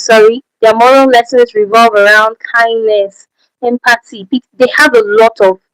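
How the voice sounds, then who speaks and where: A Nigerian woman with a fairly high voice hosts a livestream Q&A in a dry, close-sounding space. She is a native Efik speaker and talks with a Nigerian accent, repeating her main points and tossing out rhetorical questions as she goes. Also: no echo, rough recording. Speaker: channel host. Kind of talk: livestream Q&A advice.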